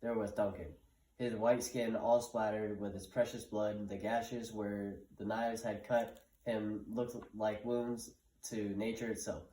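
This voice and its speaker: A man's voice: on the low side, flat delivery, unhurried, dark tone. A man giving a dramatic reading of a modernised stage play for a puppet show. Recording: roomy, echoing room sound.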